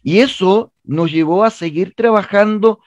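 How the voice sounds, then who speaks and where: A man's voice: sits high, a little slow, dry recording, muffled recording. A man with a Chilean accent giving an earnest, explanatory interview as a theatre director, telling stories and repeating phrases for emphasis.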